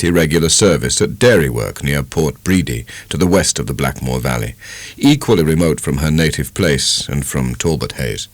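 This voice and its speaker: A man with a British English accent giving a measured, dramatic reading of a literary novel as an audiobook narrator. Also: rumbling low, no room sound. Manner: measured, expressive storytelling.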